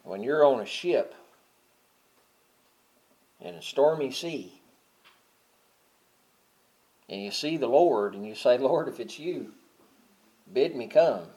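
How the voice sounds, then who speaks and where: A middle-aged man preaching a sermon, talking casually and then swelling loud and high on key points. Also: expressive, slow pace, long pauses, breathy edge. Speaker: pastor.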